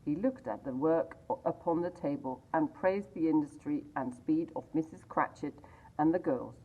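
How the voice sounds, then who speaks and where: Low husky woman reading a storybook. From England. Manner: measured, expressive reading. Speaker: library reader. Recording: tinny phone-like sound.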